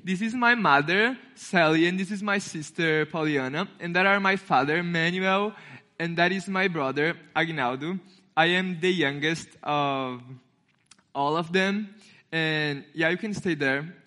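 A young man from Brazil with a Brazilian Portuguese accent preaches to a congregation in a friendly, storytelling way. His voice sits a little high and sounds bright and forward. He acts out the voices of the people in his story as he goes. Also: measured pace. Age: 20s. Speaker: intern and youth speaker. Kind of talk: sermon with storytelling.

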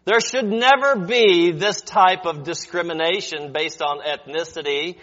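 High-voiced preacher in a reverberant hall. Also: male voice, unhurried, a bit bright. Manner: explanatory, addresses listeners with rhetorical questions. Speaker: preacher teaching a Bible lesson. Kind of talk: sermon. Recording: dull audio with long hall reverb.

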